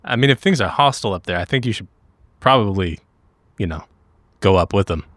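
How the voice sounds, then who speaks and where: A man casually roleplaying as a player in a tabletop game, animated and repeating himself. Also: on the low side, fairly quick, dry recording.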